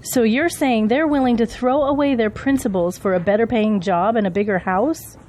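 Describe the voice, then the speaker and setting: A woman's voice: a little high, moderate pace, a little mellow, a little hiss or hum, close to an everyday voice. A woman playing an indignant, loyal political campaign aide in an audio drama, defensive and argumentative.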